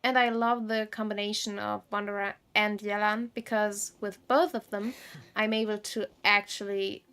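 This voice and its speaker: A woman giving casual gameplay commentary as a streamer, chatty and thinking aloud in halting bursts. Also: medium pitch, flat delivery, fairly bright tone, clean tone. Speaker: game streamer.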